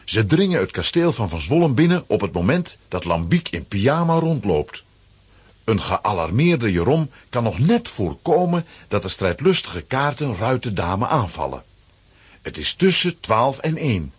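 A man with a husky voice narrating a children's audio play as a dramatic scripted story. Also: medium pitch, sing-song, measured pace.

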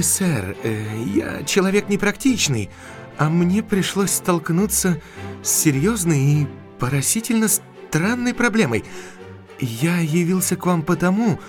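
An actor playing a young, eager, unpractical country doctor in a Russian audio drama, effusive and courteous with hesitant pauses. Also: male voice, high-pitched.

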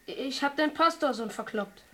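A woman's voice: high voice, animated, moderate pace, a bit bright, no echo. A woman playing a proud, defiant teenage farm boy in a radio play, blunt and determined. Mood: sullen.

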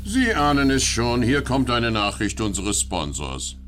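A man's voice: medium pitch, sing-song, measured pace, music in the background. A man playing a wry live reporter who hosts a spoof radio play, mixing English into his narration.

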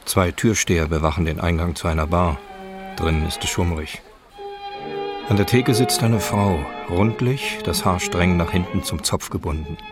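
A man's voice: deep voice, normal speed, music in the background. A man reading scripted, present-tense scene narration for a radio drama.

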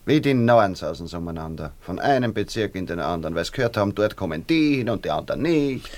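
A male actor as a wary Viennese working man in a radio drama. His voice sits fairly low and moves up and down a lot. He grumbles and frets in colloquial, practical terms. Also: animated, quick pace, a little mellow, lightly acted. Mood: grumbling, gossipy. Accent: Austrian, Viennese.

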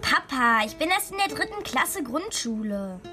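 A precocious little schoolgirl with a high voice. She is pert, cheeky and teasing, in a children's radio drama with music behind. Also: sing-song, moderate pace. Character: cheeky, precocious eight-year-old schoolgirl daughter. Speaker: performer in a children's radio play. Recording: studio radio play with music underneath.

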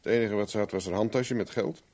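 A man's voice: lowish voice, fast talker, darkish tone, natural voice. Actor as wry, stubborn male private investigator. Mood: pensive. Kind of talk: 1970s Dutch radio play acting.

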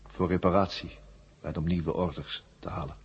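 A man playing an ancient, worn-out war machine brain in a 1970s radio drama, weary and forgetful, in flat, halting, repetitive statements. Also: deep voice, slight background noise. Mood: weary, explaining. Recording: muffled old radio drama recording.

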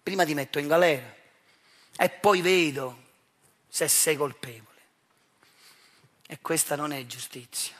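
High-pitched Neapolitan man, provocative stage monologue. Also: a little slow, long pauses, fairly bright tone. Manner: provocative, accusatory, rhetorical questioning. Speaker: stage actor performing his own monologue. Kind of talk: theatrical monologue addressed directly to the audience.

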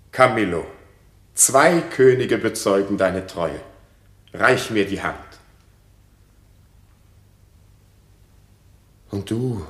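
A man playing a remorseful king and father in a classic radio drama, speaking verse in an earnest, theatrical, deliberate way. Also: low-pitched, echoey room. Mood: tender remorse. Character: remorseful king and father, reunited with his wife.

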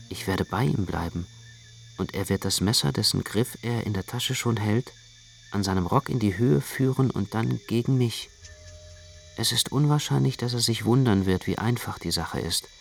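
A man's voice: on the low side, normal speed, vocal fry, slight background noise. A man narrating a radio play in the first person as an anxious, brooding literary storyteller.

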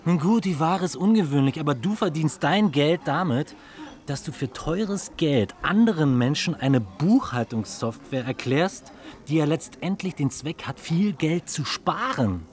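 An actor playing a cool, cynical male dealmaker in a German radio drama, taunting and deliberate, with a superior, mocking manner. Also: on the high side, animated.

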